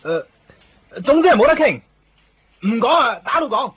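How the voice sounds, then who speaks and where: A man's voice: extremely high pitch, very animated, a little slow, slightly rough, exaggerated voice. A man playing an aggressive, blustering police officer in a radio drama, with a Hong Kong Cantonese accent. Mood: angry, blustering. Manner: blustering, confrontational, emphatic. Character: hot-headed, aggressive male police officer. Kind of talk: 1990s radio drama acting. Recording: dull, band-limited radio recording.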